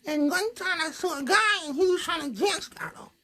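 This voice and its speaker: A man voicing a sassy young girl puppet with cheeky, deadpan comebacks in a puppet show. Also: very high-pitched, moderate pace, pitched above the performer's own voice, exaggerated voice. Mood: chatty.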